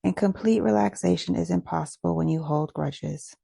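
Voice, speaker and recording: A woman leading a guided meditation in a gentle, measured, instructional way. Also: on the low side, vocal fry, breathy edge, dry recording, studio quality.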